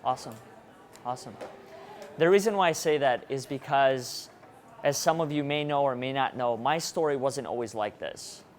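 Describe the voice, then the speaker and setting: A man's voice: medium pitch, normal speed. A man born in Russia giving a motivational presentation to a live audience, engaging the listeners with questions. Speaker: motivational speaker.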